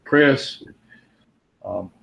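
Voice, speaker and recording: A man's voice: drawn-out words, fairly bright tone. A man with a Pennsylvania Dutch accent hosting a live webinar, chatting with viewers and calling on them by name. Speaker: webinar host. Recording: dull lo-fi audio.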